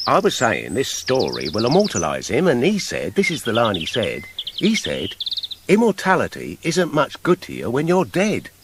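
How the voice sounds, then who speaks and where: An actor playing an exasperated, bossy woodcutter in a radio play, hectoring and indignant. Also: male voice, mid-range voice, wildly swooping pitch, normal speed, noisy background. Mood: persuasive. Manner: argumentative, indignant, emphatic.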